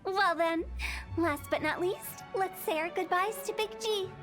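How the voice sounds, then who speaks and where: An eccentric young female undertaker in a video game. Her voice is very high and her manner teasing and playful, with a cheerfully morbid streak. Soft music sits underneath. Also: a little slow. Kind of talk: video game character acting.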